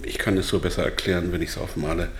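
A man acts out a captive pharmaceutical salesman in a radio play, a slick and evasive type with a lowish voice. He is recorded in an echoing room. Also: flat delivery, fast, a little hiss or hum, lightly acted. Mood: matter-of-fact, evasive. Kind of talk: German radio drama acting.

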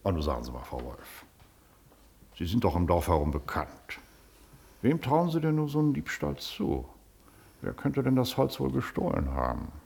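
An actor playing a pompous, bullying village magistrate who lectures and shouts at people. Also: male voice, fairly low pitch, huge pitch swings, moderate pace, creaky, pauses often, exaggerated voice. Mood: soothing. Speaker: official chairing a hearing.

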